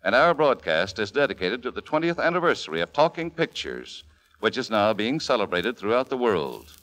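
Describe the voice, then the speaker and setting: Grandly animated American radio announcer man. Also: lowish voice, poor audio. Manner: grand, formal, measured. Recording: old 1940s radio recording, music behind. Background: music.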